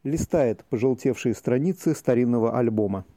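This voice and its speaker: A man's voice: medium pitch, normal speed, mellow. A man reading scripted stage narration for an audio play. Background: music.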